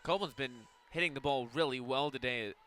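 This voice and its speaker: A man giving conversational, explanatory color commentary on a live softball radio broadcast. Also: mid-range voice, brisk.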